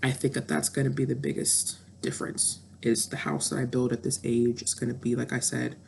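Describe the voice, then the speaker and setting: A woman's voice: rumbling low, flat delivery, a little fast, vocal fry, a little mellow, slight background noise. A woman in her thirties talking candidly and introspectively in a reflective personal monologue, with frequent fillers. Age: 30s. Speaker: vlogger.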